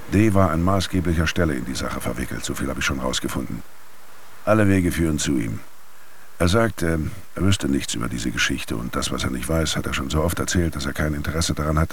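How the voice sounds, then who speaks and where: A man's voice: a bass voice, slight background noise. An actor playing a wry investigator in a German radio drama, dictating his field log in a matter-of-fact way. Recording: music under the voice. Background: music.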